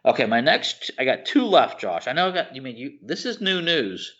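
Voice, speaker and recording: A man co-hosting a podcast, chatting casually and enthusiastically, halting and searching for words as he rambles. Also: mid-range voice, very animated, fairly bright tone. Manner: informal, enthusiastic. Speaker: podcast co-host. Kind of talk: casual chat about films and TV shows. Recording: slightly dull, lo-fi podcast audio.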